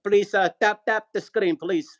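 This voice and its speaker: A man's voice: sits high, steady tone, measured pace, fairly bright tone. A middle-aged man hosting a casual livestream, reading viewer comments aloud and urging people to tap the screen, in an upbeat, repetitive way. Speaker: livestream host.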